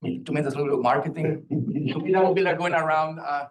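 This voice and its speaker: A man from Puerto Rico with a Puerto Rican accent, a professor speaking on a panel in a lively, joking way that quizzes the audience. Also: a little high, racing. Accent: Puerto Rican Spanish. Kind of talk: panel talk.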